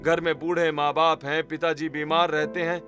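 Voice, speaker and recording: A man as a humble, high-voiced poor labourer. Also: flat delivery, moderate pace, bright, forceful tone, soft background music. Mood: pleading. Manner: pleading, resigned. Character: poor, weary, humble male village labourer.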